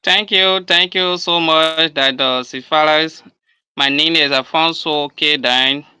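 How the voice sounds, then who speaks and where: A man politely putting a formal question as a training participant, in deliberate, halting phrases. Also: on the high side, steady tone, bright, forceful tone, smooth, dry recording. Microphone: video call microphone.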